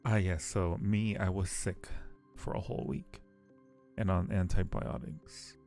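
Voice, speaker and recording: A man's voice: deep voice, unhurried, creaky, darkish tone, soft background music. A man chatting casually and playfully with viewers on a livestream, teasing them affectionately. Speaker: live streamer hosting a chat.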